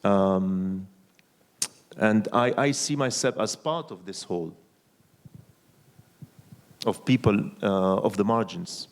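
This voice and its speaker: A man's voice: on the low side, slow pace, gravelly, pauses often. A man speaking thoughtfully and hesitantly on a panel. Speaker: filmmaker. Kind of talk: panel conversation.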